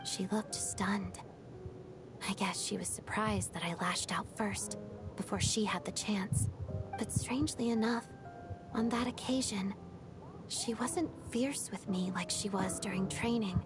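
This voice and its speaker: A woman playing a melancholy young ballet dancer in a video game, speaking softly and breathily in a restrained, reflective, measured way. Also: mid-range voice, music in the background, lightly acted. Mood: quiet, regretful. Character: melancholy young female ballet dancer and former Fatui trainee. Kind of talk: video game story dialogue.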